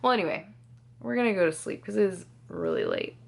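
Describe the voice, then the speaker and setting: A woman's voice: medium pitch, wildly swooping pitch, brisk, gravelly. Chatty young woman vlogging at home. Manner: chatty, lots of "like" fillers and laughs. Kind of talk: casual vlog chat. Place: home kitchen.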